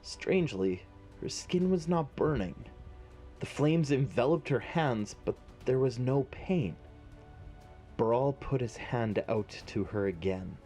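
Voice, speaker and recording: A man narrating a scripted fantasy story in a measured, dramatic way. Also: medium pitch, lively intonation, dark tone, soft background music.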